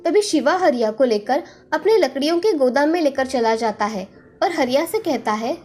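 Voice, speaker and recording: A woman's voice: sits high, lively intonation, moderate pace, a little mellow, faint music behind. A woman reading a scripted children's story as its storyteller.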